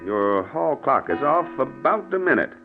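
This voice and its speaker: Animated well-spoken cop, old radio. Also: male voice, mid-range voice, normal speed, music in the background. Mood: reassuring. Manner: matter-of-fact, well-spoken. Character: male policeman, educated, brisk and reassuring. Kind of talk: 1940s radio acting. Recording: dull, hissy vintage radio recording.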